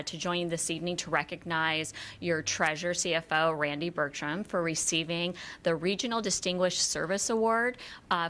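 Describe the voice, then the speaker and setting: A middle-aged woman, a school finance association director, giving a measured award presentation at a board meeting with many "uh" pauses. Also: on the low side, pushed and bright. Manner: measured, with frequent "uh" fillers. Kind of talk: formal award presentation speech. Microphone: without a working microphone, projecting.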